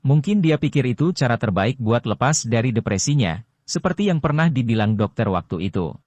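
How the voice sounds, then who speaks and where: Male audiobook narrator, first-person story in casual slang, medium pitch, dry sound. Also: lively intonation, a little fast, a little mellow, no room sound. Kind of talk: first-person novel reading.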